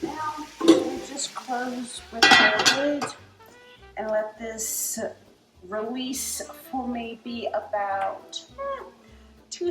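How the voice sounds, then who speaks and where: An American woman in her forties presents a casual home cooking demonstration as host, speaking haltingly with pauses. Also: medium pitch, fairly bright tone. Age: 40s. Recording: roomy kitchen echo with music. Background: music, sizzling food and stirring.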